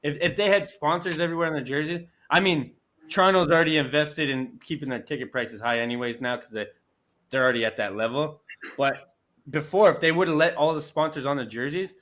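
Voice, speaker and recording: A man's voice: fairly high pitch, quick pace, fairly bright tone. A young Canadian man hosting a casual sports chat show, talking in loose rambling restarts with easy laughs.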